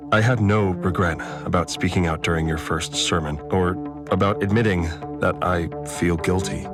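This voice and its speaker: A low-voiced farmer weighed down by guilt, giving a confessional monologue in an audio drama. He is anxious and apologetic, feeling his way haltingly through his words over prominent music. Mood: solemn, apologetic.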